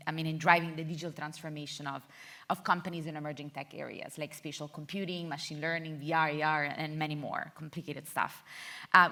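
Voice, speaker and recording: An Italian woman introduces a tech panel at a conference. Her voice sits low but has a bright, forward edge. She speaks into a stage microphone in a friendly way, with an occasional laugh. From Italy. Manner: friendly, with light laughs and fillers. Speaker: panel moderator. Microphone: handheld microphone.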